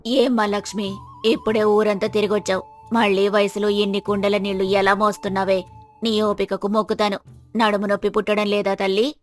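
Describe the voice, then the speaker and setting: Motherly older village woman, voiced by a female storyteller, pitched down, kindly and plain-spoken. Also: little pitch movement, fairly quick, soft background music, lightly acted. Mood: teasing sympathy.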